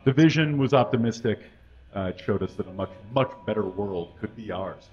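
A man giving a comic reading on a small stage at a stand mic, deadpan and mock-earnest. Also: on the low side, normal speed, highs cut off. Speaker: guest reader. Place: small stage with curtains and brick wall.

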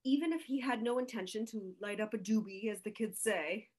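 A woman's voice: medium pitch, fairly quick, darkish tone, lightly acted. A woman playing a dry, formal school principal in a sitcom table read. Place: home room on a video call.

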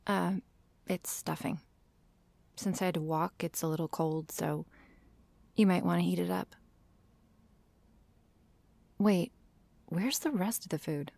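A woman's voice: lowish voice, measured pace, creaky, long pauses, darkish tone, dry recording. A woman playing a prickly, sarcastic but secretly shy vampire girl in an ASMR roleplay, hesitant and sighing, often dropping to a whisper. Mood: flustered. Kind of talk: ASMR roleplay addressed to the listener.

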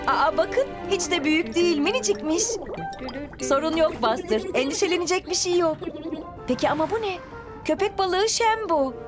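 A woman with a high voice narrates a cartoon for young children. She is expressive and full of exclamations, talking straight to the characters and the little viewers. Loud music plays behind her.

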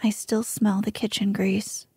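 A woman's voice: medium pitch, flat delivery, measured pace, darkish tone, breathy edge, no room sound. A woman voicing a scornful, haughty young damsel in a whispered storybook reading. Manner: whispered, sneering.

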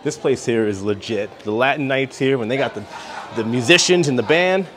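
A man's voice: medium pitch, fairly quick, slight background noise. A man in his thirties casually narrating a travel vlog to viewers, recorded at arm's length while walking around. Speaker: travel vlogger. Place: cruise ship interiors and open decks. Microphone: handheld phone or camera at arm's length.

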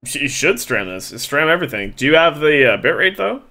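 A man's voice: a little high, sing-song, a little fast, sharp tone. A man in his thirties streaming a game from his desk on a headset, chatting casually and teasing his friends. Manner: joking, teasing banter with friends. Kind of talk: casual gaming stream chat. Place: small indoor room at a desk.

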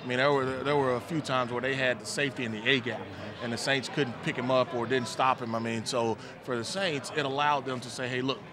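A man in his forties, a former player, giving relaxed postgame football analysis into a handheld mic on a studio set. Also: mid-range voice, a little fast, hardly any pauses, a crowd in the background. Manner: relaxed, thoughtful, many "you know" fillers. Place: studio set with team backdrop, indoors. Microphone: handheld microphone.

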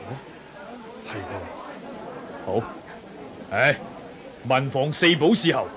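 An actor playing a lordly, generous Chinese emperor in a radio drama, with a stately, commanding manner. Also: male voice, mid-range voice, lively intonation, slow pace, a crowd in the background. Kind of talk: Cantonese radio drama acting.

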